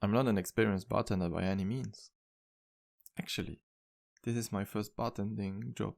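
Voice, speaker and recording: A man playing a low, creaky-voiced charming vampire bartender. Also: steady tone, moderate pace. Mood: warm, modest. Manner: gentle, courteous, slightly formal phrasing. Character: charming, polite, modest male vampire bartender with guilty past. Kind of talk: ASMR roleplay, intimate one-sided dialogue.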